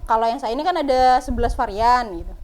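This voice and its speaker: A woman's voice: fairly high pitch, fast. A woman from Madura talking as a guest in a casual interview, chatty and modest, laughing often. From Madura, Indonesia. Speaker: guest, small dessert business owner.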